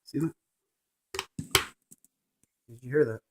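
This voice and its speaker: A man's voice: medium pitch, slow pace, mellow. A man presenting a casual hands-on gadget demonstration, narrating each step in short fragments.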